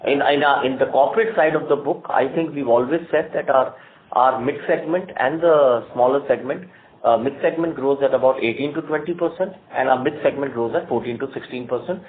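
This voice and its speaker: A man speaking as a company executive, answering analysts' questions off the cuff, halting and repeating his words. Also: mid-range voice, phone-quality sound. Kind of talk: unscripted earnings call Q&A. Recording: noisy phone conference line.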